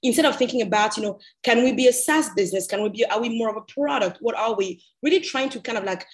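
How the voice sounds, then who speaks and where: A woman's voice: a little high, quick pace. Candid young woman in conversational interview. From Cameroon. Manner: candid, informal, explanatory. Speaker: podcast guest.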